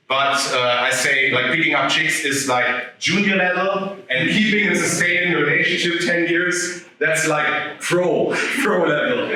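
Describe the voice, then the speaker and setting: A man giving an animated live talk to an audience, turning to them with questions. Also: a little high. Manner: animated, addresses the audience directly. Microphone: no microphone, picked up from afar. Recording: echoey room, voice from a distance.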